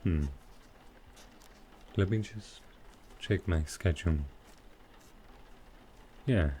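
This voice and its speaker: A man playing a smooth, flirtatious supervillain in an intimate ASMR roleplay, teasing and unhurried. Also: low-pitched, a little hiss or hum. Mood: relaxed, assured. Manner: teasing, unhurried, softly suggestive. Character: smooth, flirtatious male supervillain courting his tailor.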